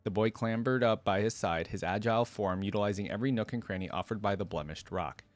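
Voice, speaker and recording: A man's voice: on the low side, quick pace, no room sound, soft background music. A man reading fantasy lore aloud as the host of a reaction channel, with casual, thoughtful commentary.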